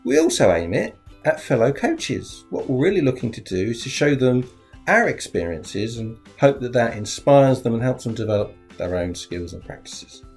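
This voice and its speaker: Upbeat male podcast host over guitar. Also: normal speed. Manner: lively, upbeat presenting with wide pitch swings. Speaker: podcast host, business coach. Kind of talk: scripted podcast intro. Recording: faint guitar music behind. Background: faint plucked guitar music.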